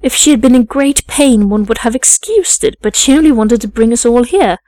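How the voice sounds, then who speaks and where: A woman's voice: a little high, brisk, warm tone, dry recording, close to an everyday voice. A woman voicing a sour household maid, indignant and accusing, in an audiobook story. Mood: scornful.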